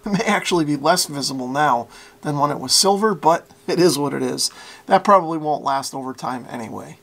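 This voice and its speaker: Higher-pitched, creaky-voiced man explaining repairs. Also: brisk, fairly bright tone. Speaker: radio repair hobbyist and video host. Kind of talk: informal hands-on repair tutorial narration.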